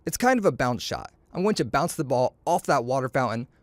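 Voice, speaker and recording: A man reading a children's story aloud, acting out the dialogue in an expressive, playful, boastful way. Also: mid-range voice, brisk, no echo.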